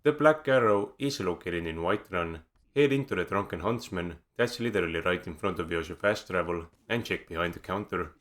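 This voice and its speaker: A man with an Estonian accent reads a scripted game guide close to the mic. His voice sits very low, and his delivery is measured with a dry, wry edge. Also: fairly bright tone. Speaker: video game guide narrator. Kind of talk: scripted voice-over narration.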